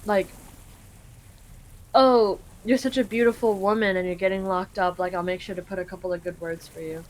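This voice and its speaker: A woman's voice: medium pitch, steady tone, moderate pace, poor audio. A woman from Canada casually translating a game on the fly, full of "like" fillers and swearing freely. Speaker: live translator. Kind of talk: casual live translation during a game stream.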